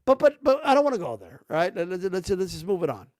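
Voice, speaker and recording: Give me a high-voiced man ranting bluntly about boxing on a dry close mic. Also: sing-song, fast talker, no room sound. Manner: rambling, blunt, peppered with slang and cursing. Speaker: boxing commentary streamer. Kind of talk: casual ranting commentary.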